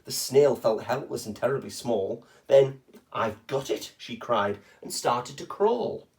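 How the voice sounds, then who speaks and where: A man's voice: medium pitch, expressive, unhurried, darkish tone. A man reading a rhyming children's picture book aloud expressively, with short chatty asides to young listeners.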